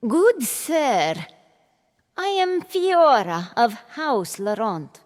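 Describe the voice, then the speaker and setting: A woman playing a proud, cold noblewoman duelist in an audio drama, speaking in a haughty, deliberate manner. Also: a little high, big pitch swings, heavily acted. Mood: formal, proud. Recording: long hall reverb, music underneath.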